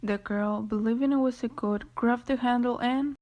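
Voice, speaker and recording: Mellow-voiced woman narrating a radio story. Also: fairly high pitch, fairly even intonation, moderate pace. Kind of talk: scripted radio drama storytelling.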